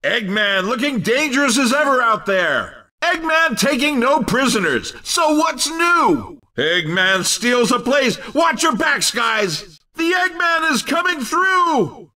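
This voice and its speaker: An actor playing an exuberant, cheeky male race commentator in a video game, calling the action in punchy, excited exclamations. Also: extremely high pitch, far from the mic, exaggerated voice. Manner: punchy exclamations, hyped announcer delivery.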